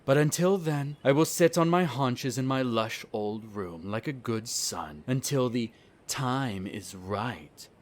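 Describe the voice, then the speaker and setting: A man acts out a haughty vampire aristocrat in an audio roleplay. His voice sits at a middle male pitch, and his words are formal, sardonic and full of disdain for humans. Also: expressive, takes it slowly. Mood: resentful. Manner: condescending, sardonic, formal wording. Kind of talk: scripted roleplay audio drama.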